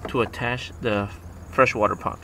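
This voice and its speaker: Man, casual how-to talk, mid pitch, head-worn camera mic, clear outdoor noise. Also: steady tone, moderate pace. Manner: plain step-by-step explaining with fillers. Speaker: DIY boat video host. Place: outdoors on a small boat.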